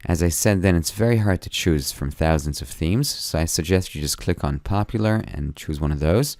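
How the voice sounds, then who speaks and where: Hoarse male tutor, deep voice, dry close sound, plain step-by-step screen tutorial. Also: a little mellow, no echo. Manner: plain step-by-step explaining, friendly and unhurried. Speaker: web design tutor. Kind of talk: screen-recorded tutorial narration.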